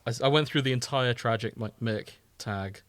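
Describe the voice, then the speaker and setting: A man co-hosting a podcast in an informal, bantering style, happy to give his opinions. His voice sits at an average pitch, recorded dry with very little room sound. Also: brisk, fairly bright tone, no room sound. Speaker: podcast co-host. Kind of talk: casual podcast chat.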